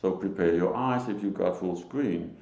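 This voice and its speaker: A man from Denmark with a Danish accent, giving a solo religious talk to camera in a halting, emphatic way. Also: sits low, vocal fry, a little mellow, roomy sound. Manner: slow, halting, emphatic, with short laughs. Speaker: lay preacher.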